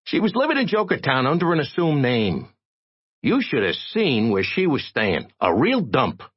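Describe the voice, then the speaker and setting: A man voicing a tiny, frantic, streetwise reporter with a squeaky, irritating little voice, in an audiobook. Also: huge pitch swings, normal speed, husky, dull audio. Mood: gossipy. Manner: streetwise slangy American talk.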